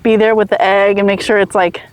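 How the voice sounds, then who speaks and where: A woman's voice: medium pitch, level tone, fairly quick, clean tone. A young woman hosting a casual conversation, who fills her speech with "like" and keeps asking questions. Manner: chatty, many "like" fillers, asks questions. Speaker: host.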